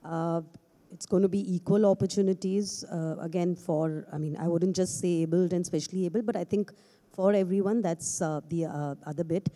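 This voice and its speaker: A woman with an Indian English accent giving a brief, measured answer as an HR head on a conference panel, into a handheld mic. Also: fairly low pitch, steady tone, mellow. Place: large conference stage.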